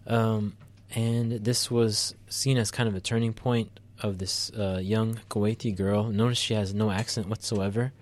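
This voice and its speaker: Low-voiced man co-hosting a talk show. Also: little pitch movement, unhurried, few pauses. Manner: thoughtful, many fillers and restarts. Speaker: podcast co-host. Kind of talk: casual political discussion.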